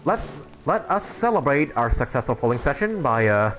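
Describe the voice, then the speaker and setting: A man's voice: medium pitch, big pitch swings, moderate pace, fairly bright tone, faint music behind. A young man giving casual live stream commentary on a game, informal and thinking aloud as he plays.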